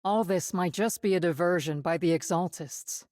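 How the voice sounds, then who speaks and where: A woman playing a stern, composed colonel in a video game, speaking formally and with measured authority. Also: fairly low pitch, darkish tone, no room sound, studio quality, natural voice. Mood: calm, formal. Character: stern, composed female colonel commanding soldiers. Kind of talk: video game voice acting, military briefing.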